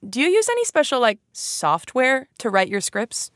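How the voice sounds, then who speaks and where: A woman's voice: fairly high pitch, big pitch swings, normal speed, fairly bright tone, dry recording, studio quality. A woman hosting a podcast in a casual, chatty way, playful and self-deprecating, often saying "like". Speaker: podcast host.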